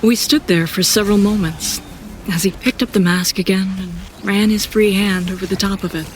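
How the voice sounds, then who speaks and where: A woman playing an introspective seasoned warrior who narrates her own story in an audio drama, reflective and deliberate. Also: medium pitch, fairly even intonation, slight background noise, natural voice. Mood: pensive. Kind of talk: first-person scripted audio drama narration.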